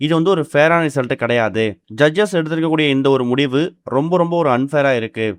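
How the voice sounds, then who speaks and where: A man's voice: on the high side, fairly quick, clean tone, dry recording. A man reading a scripted entertainment news voice-over as narrator.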